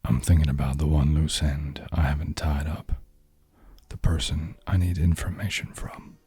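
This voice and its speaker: A man playing a husky, world-weary noir detective. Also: fairly even intonation, dark tone, no room sound, exaggerated voice. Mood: brooding. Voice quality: very deep, husky, low murmur. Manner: slow, brooding, half-whispered delivery. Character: hard-boiled male noir detective, world-weary and cynical. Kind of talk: ASMR noir audio drama narration.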